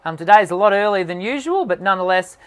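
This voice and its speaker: A man who hosts a singing channel, answering viewer questions on a live stream in an encouraging tone and often pausing with "um". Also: sits high, lively intonation. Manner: encouraging, talks with many "um" fillers. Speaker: singing teacher and channel host.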